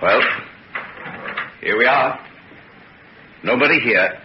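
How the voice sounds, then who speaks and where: An actor playing a courteous, evasive gentleman doctor in an old-time radio serial, speaking politely and hesitantly with reassurance. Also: medium pitch, slow pace, natural voice. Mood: reassuring. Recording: muffled, noisy old radio recording.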